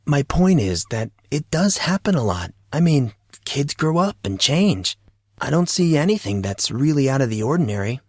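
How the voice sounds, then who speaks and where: A man's voice: mid-range voice, sing-song, normal speed, darkish tone, dry recording, studio quality, voice pushed up. A man playing an awkward fourteen-year-old schoolboy who talks in flippant wisecracks, in an audio drama. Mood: earnest.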